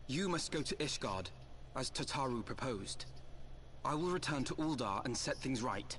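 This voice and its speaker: A man playing a dignified, earnest young idealist in a game cutscene, speaking in formal, measured, eloquent phrases. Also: mid-range voice, animated, fairly bright tone, a little hiss or hum, natural voice. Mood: resolute. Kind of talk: video game cutscene acting.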